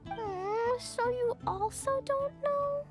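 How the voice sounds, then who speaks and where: A woman's voice: very high voice, slow pace. A woman voicing a sweet, innocent, earnest little girl in an anime-style game, with a childlike way of talking. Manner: childlike, earnest, refers to self by name. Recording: music behind the voice.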